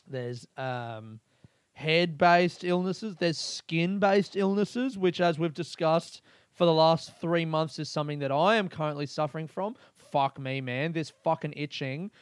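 A man's voice: a little high, clear, resonant tone, no echo, studio quality. Crude, laughing man hosting a podcast. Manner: crude, swears a lot, laughs often; animated, rambling storytelling. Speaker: podcast host. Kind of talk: casual comedic podcast monologue.

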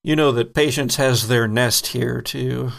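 A man narrating a tabletop horror game as game master, in slow, deliberate descriptions broken by chuckles. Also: medium pitch, steady tone, vocal fry, a bit bright.

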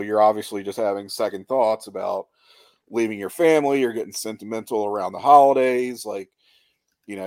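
A man's voice: on the low side, a little mellow, no room sound. A man co-hosting a podcast, casually analyzing a TV show in a reflective, colloquial way. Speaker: podcast co-host.

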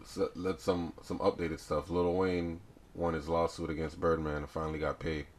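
Very deep-voiced man in his forties. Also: normal speed, a little hiss or hum. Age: 40s. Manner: animated, loud bursts, laughs often. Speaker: podcast host. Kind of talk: casual podcast chat.

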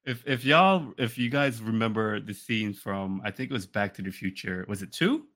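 A man co-hosting a podcast, telling stories casually in an animated way and repeating himself for emphasis. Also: lowish voice, moderate pace. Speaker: podcast co-host. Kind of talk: casual chat, storytelling.

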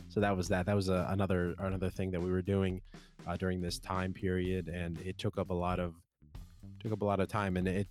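Deep-voiced young man rambling on a podcast. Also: a little mellow. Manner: rambling, many fillers and restarts, chuckling often. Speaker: podcast host. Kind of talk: solo casual podcast talk.

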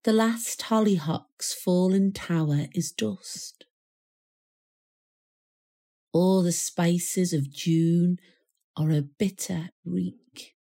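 Very slow, soft-toned woman reciting poetry. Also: lowish voice. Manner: measured, solemn delivery with deliberate pauses. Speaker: poetry reader.

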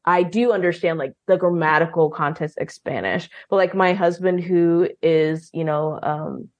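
A woman's voice: on the low side, fairly even intonation, normal speed. Young woman, guest in a casual interview, filler-heavy and conversational. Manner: chatty, many "like" and "um" fillers. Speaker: guest, language program leader.

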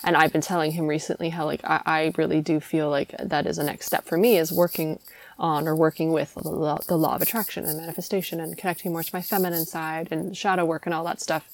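A woman's voice: deep voice, level tone, fast talker. A young woman hosting a podcast, chatting casually and laughing often. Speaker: podcast host. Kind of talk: casual chat.

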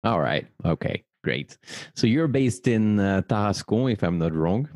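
A man's voice: on the low side, lively intonation, unhurried, a little mellow, dry recording. A man hosting a podcast, polite and courteous, with short affirmations and hesitant uhs. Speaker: podcast host. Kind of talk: interview and show sign-off.

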